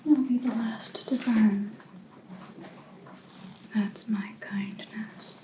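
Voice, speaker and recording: A woman playing a cold, menacing vampire hunter in a whispered ASMR roleplay, speaking slowly and deliberately with quiet threat. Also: fairly high pitch, steady tone, background noise. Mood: quietly menacing.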